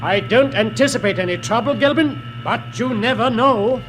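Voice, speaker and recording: An actor playing a coolly confident, villainous spaceship commander in 1950s radio drama style, speaking with measured, precise authority. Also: male voice, very high-pitched, loud music behind the voice. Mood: confident, planning. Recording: noisy old radio broadcast recording.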